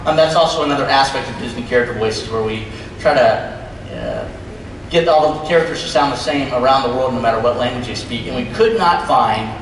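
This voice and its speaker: A man's voice: mid-range voice, sing-song, fairly quick. A man hosting a live on-stage interview panel, chatting informally and putting questions to his guests. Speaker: panel host interviewing voice actors. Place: large convention hall stage. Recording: echoey hall from far off, noisy.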